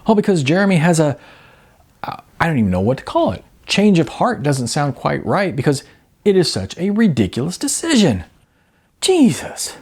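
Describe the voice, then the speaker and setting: Man voicing a blunt, exasperated actress, husky. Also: fairly high pitch, huge pitch swings, moderate pace, darkish tone, pitched above the performer's own voice, heavily acted. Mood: frustrated. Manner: blunt, informal. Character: exasperated, blunt, sardonic female aspiring actress.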